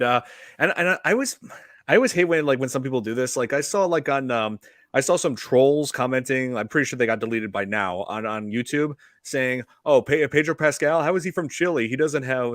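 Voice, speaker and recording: A man's voice: mid-range voice, fairly bright tone, no echo. Man in his thirties, podcast host, rambling chatty talk, dry close mic. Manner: rambling, many fillers. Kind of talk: casual podcast chat.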